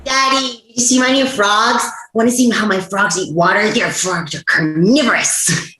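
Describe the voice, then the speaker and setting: A woman playing an eager, excitable eight-year-old boy in a screenplay table read. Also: mid-range voice, normal speed, lightly acted. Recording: echoey room.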